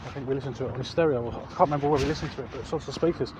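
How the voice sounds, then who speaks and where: A man's voice: medium pitch, racing. A man chatting casually to viewers as a vlog host, hesitant and often correcting himself mid-sentence. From England. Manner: hesitant, self-correcting, repeats words. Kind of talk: casual rambling chat to viewers. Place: outdoors, walking along urban streets. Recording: outdoor recording with traffic noise.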